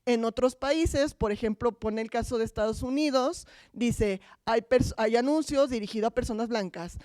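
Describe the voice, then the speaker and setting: Higher-voiced Mexican woman lecturing informally. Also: normal speed, darkish tone, no room sound, studio quality. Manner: colloquial, wry, poses rhetorical questions. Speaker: academic lecturer. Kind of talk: informal conference talk.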